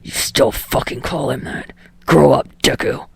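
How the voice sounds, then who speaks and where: A woman voicing a gruff, sneering young man with a low growl and a curt, scornful manner. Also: fairly even intonation, measured pace, no room sound, full character voice. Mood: scornful, irritated. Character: gruff, sneering, foul-mouthed young man, a surly actor.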